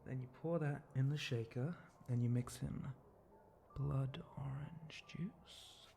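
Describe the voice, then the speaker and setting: Man playing a slow, gravelly, whispery flirty monster bartender. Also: mid-range voice, warm tone, a little hiss or hum. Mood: musing, relaxed. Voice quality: breathy, often dropping into a whisper. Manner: slow, teasing, murmuring, lingering pauses. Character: flirty, teasing spider-monster male bartender, sly and relaxed. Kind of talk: intimate ASMR roleplay monologue.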